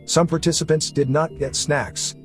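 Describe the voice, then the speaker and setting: A man's voice: fairly high pitch, fairly even intonation, moderate pace, music in the background. A man reading scripted narration for a story recap video, as its narrator.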